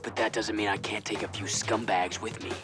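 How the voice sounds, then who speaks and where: Game lead, male actor: tough stoic racer, low register, clipped deadpan delivery, fearless. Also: fairly quick, over music, natural voice. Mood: dry, defiant. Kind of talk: game cutscene acting.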